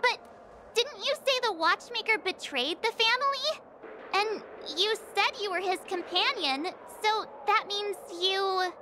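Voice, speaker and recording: An actress playing a perky, curious, blunt teenage girl in an anime-style video game, expressive and inquisitive. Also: extremely high pitch, measured pace, noisy background. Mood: puzzled. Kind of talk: video game story dialogue.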